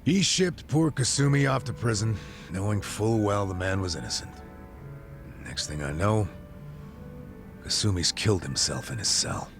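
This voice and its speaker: An American man acting a gruff, regretful, middle-aged ex-cop in a video game cutscene, blunt and streetwise with a gravelly voice. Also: lowish voice, lively intonation, normal speed, music in the background. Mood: grave, confiding.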